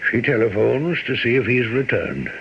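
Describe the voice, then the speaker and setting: A solemn, loyal manservant in an old radio mystery, mid-pitched and respectful. He sounds gently concerned and measured, over clear noise on a muffled recording. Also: big pitch swings. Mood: somber. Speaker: radio drama actor.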